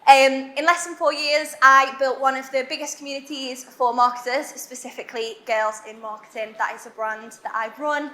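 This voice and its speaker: Chatty high-voiced Liverpool woman on stage. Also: steady tone, bright, forceful tone. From Liverpool, England. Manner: chatty, self-deprecating, fast with many fillers. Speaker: conference speaker. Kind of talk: conference talk. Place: large warehouse event hall. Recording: echoey event hall.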